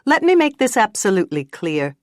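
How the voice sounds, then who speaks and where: A woman's voice: medium pitch, sing-song, a little fast, no echo, clean studio sound, voice pushed up, close to an everyday voice. A woman voicing a stern female police detective who questions without sympathy. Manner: firm, cold, pressing questions.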